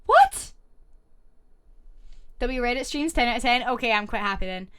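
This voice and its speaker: A woman's voice: on the high side, lively intonation, clear, resonant tone. A woman streamer reading online stories aloud and reacting to her chat in a casual live-stream. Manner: reads posts aloud and reacts with quick asides to chat.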